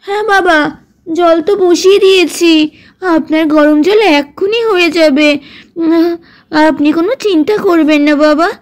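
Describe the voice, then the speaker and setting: Doll cartoon young daughter-in-law, voiced by a woman: very high voice, dutiful, meek and put-upon. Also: moderate pace. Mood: obedient, placating. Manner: meek, placating, complaining when alone. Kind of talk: puppet doll cartoon acting.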